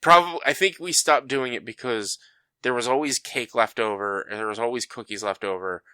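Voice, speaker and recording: A man's voice: medium pitch, a little fast, smooth, no echo. A man in his thirties co-hosting a podcast, telling stories in casual chat, swearing freely and acting out what people said. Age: 30s. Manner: animated storyteller, swears freely, acts out quotes. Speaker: podcast co-host.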